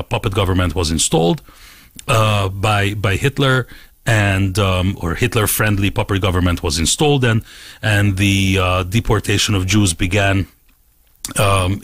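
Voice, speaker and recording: Middle-aged Hungarian-accented male guest, very deep, halting and full of fillers, dry. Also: fairly bright tone, no room sound. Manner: halting, many fillers and restarts. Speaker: guest, rabbi and editor. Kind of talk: interview answers.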